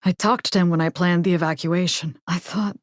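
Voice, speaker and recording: A woman's voice: lowish voice, fairly even intonation, fairly quick, dry recording, clean studio sound, lightly acted. An actress playing a plucky, wry young woman rescue fighter in a video game, speaking in a casual, sardonic way. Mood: somber.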